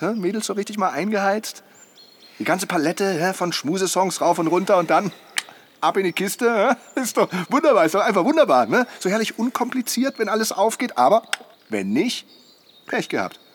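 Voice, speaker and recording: A man's voice: high voice, expressive, normal speed, a little hiss or hum. An actor playing a jovial, chattering camper who goads his companion in a naturalistic radio drama, with a teasing manner. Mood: boisterous, needling. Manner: teasing, chatty, punctuated by chuckles and tag questions.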